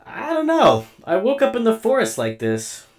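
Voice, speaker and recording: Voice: medium pitch, wildly swooping pitch, moderate pace. An actor voicing a mild, bemused robot companion in a radio drama, hesitant and plainspoken. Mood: bewildered. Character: mild, bemused robot companion who just gained legs.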